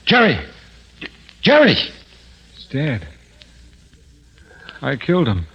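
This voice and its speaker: A man's voice: on the high side, wildly swooping pitch, extremely slow, creaky, slightly rough, exaggerated voice. A man playing a frightened, desperate working man in a 1950s radio drama, agitated and melodramatic. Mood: shocked. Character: frightened, desperate male truck man defending payroll. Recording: muffled old radio recording.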